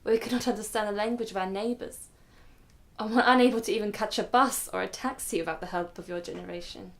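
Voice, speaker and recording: Radio drama grandmother, played by a woman: weary, dignified, solemn, mid-pitched, measured storyteller. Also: creaky, fairly bright tone. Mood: patient, earnest. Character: weary, dignified Ethiopian-Israeli grandmother recalling her flight.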